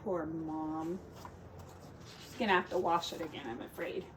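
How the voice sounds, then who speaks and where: A woman's voice: deep voice, fairly even intonation, normal speed, a bit bright, slight background noise. A woman who teaches, reading a picture book aloud to children expressively, drawing out key words for drama and adding casual asides.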